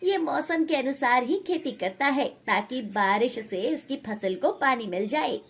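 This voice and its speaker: A woman voicing a rich man's respectful, soft-hearted male servant in a moral tale, pleading in manner. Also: sits high, animated, unhurried, slightly rough, exaggerated voice. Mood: deferential. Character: deferential, compassionate henchman of rich boss, man.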